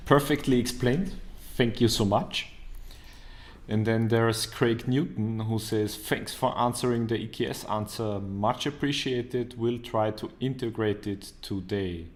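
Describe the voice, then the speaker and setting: A man moderating a live online Q&A, reading out viewer comments in an easygoing, joking way. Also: medium pitch. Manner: slow and deliberate, joking, easygoing. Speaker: event moderator.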